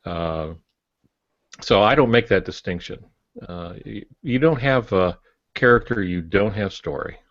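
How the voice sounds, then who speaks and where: An older man co-hosting a podcast, giving firm opinions in casual talk with pauses mid-thought. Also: fairly low pitch, animated, fairly bright tone, muffled recording. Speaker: podcast co-host.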